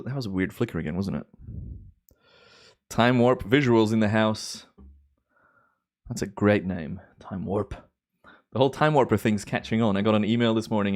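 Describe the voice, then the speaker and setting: A man in his thirties from Belgium, with a Belgian accent shaded by American and Australian English, chatting easily as host of a live stream, with drawn-out cheerful exclamations. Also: on the low side, darkish tone. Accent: Belgian, with American and Australian influence. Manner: laid-back, chatty, drawn-out enthusiastic exclamations. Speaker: timelapse livestream host. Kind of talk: relaxed live stream commentary.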